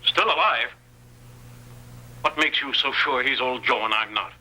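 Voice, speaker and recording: A sneering, scheming outlaw in an old radio Western. His American voice is very sharp and forceful, with rustic, threatening talk on a tinny vintage recording. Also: mid-range voice, animated, unhurried, exaggerated voice. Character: scheming male bandit, menacing, sneering, posing as brother.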